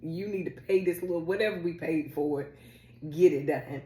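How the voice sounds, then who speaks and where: Woman co-host, low voice, chatty and playful, slightly under the weather; echoey room. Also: warm tone. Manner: chatty, fast-talking, playful teasing. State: recovering from a cold. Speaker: podcast co-host. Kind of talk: casual chat.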